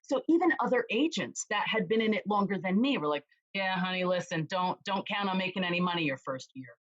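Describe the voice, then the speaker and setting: A woman real estate agent chatting as an interview guest, with a loud voice, telling stories lively and acting out what others said. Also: medium pitch, brisk, highs cut off. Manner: animated storyteller, acts out quoted voices. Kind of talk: casual interview chat.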